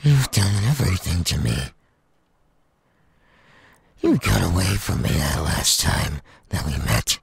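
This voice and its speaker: Male demon villain, audio roleplay: menacing and taunting, gravelly, swinging widely in pitch, recorded close and dry. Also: sing-song, dry recording, heavily acted. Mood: hungry menace. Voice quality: gravelly, raspy. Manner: slow, taunting, drawn-out delivery with wide swings. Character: menacing, sadistic male demon villain craving human flesh. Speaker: voice actor.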